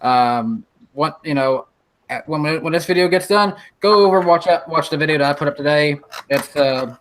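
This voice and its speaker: A man's voice: fairly high pitch, slight background noise, rough recording. A man in his twenties hosting a casual podcast chat over a video call, often restarting his sentences. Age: 20s. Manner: relaxed, repeats words while starting sentences. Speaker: podcast host.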